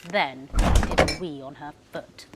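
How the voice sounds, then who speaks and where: A woman's voice: low-pitched, unhurried, a little hiss or hum. A woman professional storyteller narrating a children's story expressively, leaning into the sound words. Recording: music behind the voice. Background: music.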